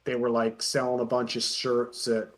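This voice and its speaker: A man with a middle-pitched voice chats as a guest on an online call. He tells stories casually, in stops and starts, circling back as he goes. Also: monotone, normal speed. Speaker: interview guest. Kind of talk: casual chat, telling anecdotes. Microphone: voice call on Discord.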